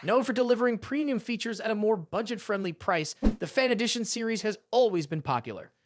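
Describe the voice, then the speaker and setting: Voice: medium pitch, lively intonation, fairly quick, noisy background. A tech review presenter reading a scripted narration in a polished explainer style.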